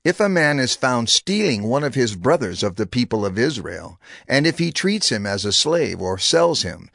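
An actor playing a solemn prophet giving law to his people in dramatized scripture, declamatory, deliberate and authoritative. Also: medium pitch, sing-song, creaky, fairly bright tone, dry recording, clean studio sound, full character voice. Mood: solemn, commanding. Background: background music.

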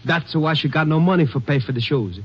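An actor playing an excitable, suspicious immigrant repairman with a foreign accent and fussy broken English, in an old radio drama. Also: on the high side, a little fast, slightly rough. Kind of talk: 1950s radio drama acting. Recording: muffled old radio recording.

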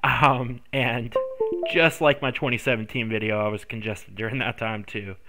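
A man's voice: medium pitch, steady tone, normal speed, over music. A congested man hosting a screen-recorded tech tutorial, rambling with many ums and self-corrections. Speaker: tutorial host.

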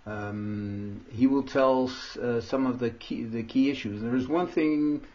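A man hosting an online session, introducing speakers in a halting way with many fillers and restarts. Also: medium pitch, darkish tone. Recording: dull lo-fi audio.

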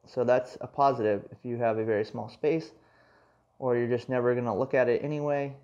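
A man's voice: mid-range voice, steady tone, fairly quick, highs cut off. A man giving a casual hands-on product review indoors at home, explaining in a conversational way with many asides.

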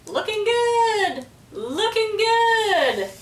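A loud storybook parrot, put on by a woman reader, squawking very high and repeating itself with boastful cheer. It is heard in a roomy space, some way from the mic. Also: very animated, extremely slow, exaggerated voice. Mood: chattering, bragging. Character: squawky, chattering pet parrot, bragging and loud. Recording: roomy, distant microphone.

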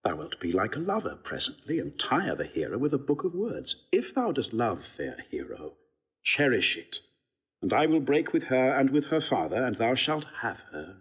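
Noble prince, assured matchmaker, husky, swooping intonation, classical radio play. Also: male voice, mid-range voice, huge pitch swings, moderate pace, full character voice, rough recording. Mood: assured, eager. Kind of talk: classical Shakespearean audio drama acting.

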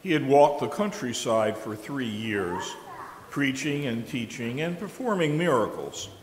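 Middle-aged guy reading narration at a church lectern, big echoey room, faint hiss. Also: mid-range voice. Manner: steady, measured reading at a lectern. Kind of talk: scripted narration read aloud. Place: large church sanctuary. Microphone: lectern microphone. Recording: echoey church with long reverb and faint noise.

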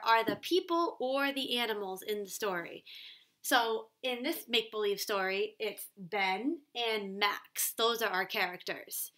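A young woman speaks as a teacher to small children. Her voice is fairly high and bright. She stresses key words and talks in simple, explanatory phrases, as if leading a read-aloud at home. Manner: clear teacherly emphasis, pauses for questions. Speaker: primary school teacher. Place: small room at home, kitchen.

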